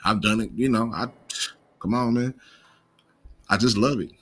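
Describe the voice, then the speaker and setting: Male commentary host, American, lowish pitch with a sharp edge, slight stutter, casual profane street slang, unscripted. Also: normal speed, husky. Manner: imperfect pronunciation of some words.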